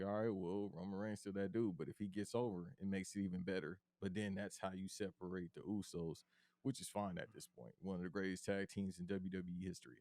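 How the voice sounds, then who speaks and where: A man co-hosting a podcast, talking wrestling in a casual, emphatic way and calling his co-host bro. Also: no room sound. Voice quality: deep bass voice. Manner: animated, emphatic, slangy, calls his cohost bro.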